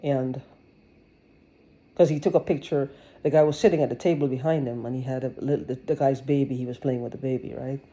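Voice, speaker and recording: A woman's voice: rumbling low, steady tone, fairly quick, mellow, low-quality recording. Agitated woman ranting to camera. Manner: agitated, sarcastic, swears, many restarts. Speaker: vlogger. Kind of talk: rambling personal monologue.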